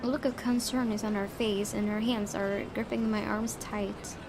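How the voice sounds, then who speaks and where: Woman, gaming host, medium pitch, visual novel read-aloud with casual commentary, noisy home recording. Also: fairly even intonation, normal speed.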